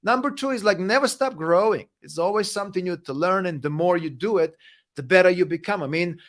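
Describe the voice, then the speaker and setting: A man whose first language is Serbian, answering interview questions in an energetic, emphatic motivational way, often tagging "right?" onto his points. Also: high-pitched, brisk. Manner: energetic, emphatic, repeats "right?" and "you know what?". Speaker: guest speaker and coach.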